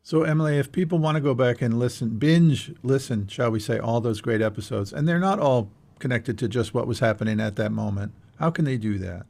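A man's voice: medium pitch, a little fast, vocal fry, few pauses, a little mellow. A man hosting a podcast, chatting casually with his co-hosts. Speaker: podcast host.

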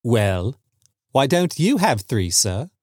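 A man's voice: medium pitch, sing-song, normal speed, a little mellow, no echo, studio quality. A man voicing a clever young son in a story, playful and teasing with a mock-serious manner. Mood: cheeky.

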